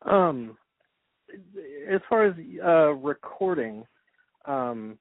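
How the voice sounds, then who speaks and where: A man's voice: a little high, animated, very slow, no room sound. A man conducting an interview in a casual conversational way, feeling his way into his questions. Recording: thin dry phone-like line.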